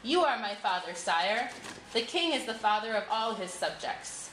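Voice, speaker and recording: A woman's voice: a little high, animated, moderate pace, fairly bright tone. A woman voicing a courteous, evasive male scholar, a mysterious stranger in a storybook tale. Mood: smoothly evasive. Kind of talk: storybook character voice. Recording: echoey room with clear background noise.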